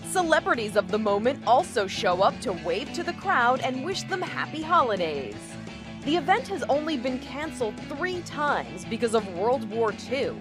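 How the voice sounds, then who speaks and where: A woman with an American accent reading scripted documentary narration. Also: on the high side. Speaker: video narrator.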